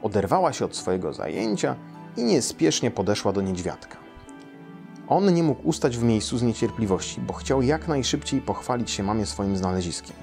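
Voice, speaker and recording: A man in his thirties or forties with a fairly low voice. He speaks as a children's storyteller, seated indoors. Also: big pitch swings, moderate pace, vocal fry, a little mellow, faint music behind. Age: 30s to 40s. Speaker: storyteller and translator reading his translation. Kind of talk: children's story read aloud. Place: small quiet room indoors, seated in an armchair.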